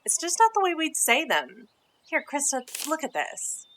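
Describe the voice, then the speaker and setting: A bossy teenage girl played by a woman in an audio drama. Her bright, forward voice is brusque and slangy, with an impatient edge. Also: high voice, huge pitch swings, moderate pace, a little hiss or hum, full character voice. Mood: irritated. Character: bossy, blunt teenage girl helping film a movie.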